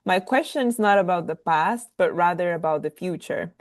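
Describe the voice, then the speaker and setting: A woman's voice: lowish voice, normal speed. A woman moderates a webinar, polite and upbeat as she reads audience questions aloud. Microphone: video call.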